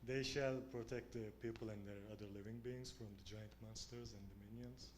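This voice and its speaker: A man from Turkey, presenting his student film in a halting way with pauses and repeated words. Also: on the low side, fairly even intonation. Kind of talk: short presentation speech. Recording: noisy room recording.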